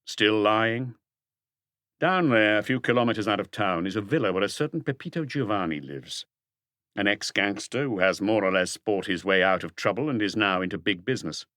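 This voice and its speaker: A man's voice: fairly low pitch, a bit bright, no room sound, clean studio sound. A man voicing a probing, persistent police detective who presses firmly with pointed questions in an audiobook mystery. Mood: accusing, then firm, measured.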